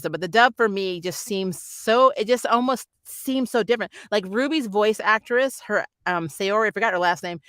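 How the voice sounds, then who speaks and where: A woman with a bright, forward voice gives a casual, rambling review to her viewers. She talks informally and animatedly, softening her opinions as she goes. The sound is dry, with very little room in it. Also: medium pitch, dry recording. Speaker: anime reviewer and vlog host.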